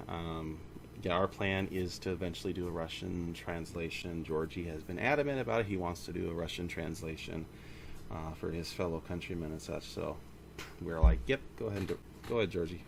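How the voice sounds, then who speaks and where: A man's voice: deep voice, creaky, slight background noise. A man giving a casual, rambling studio update as a game developer, often correcting himself mid-sentence. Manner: informal, rambling, self-correcting. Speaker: indie game studio founder. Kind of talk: casual monthly studio update to camera or mic.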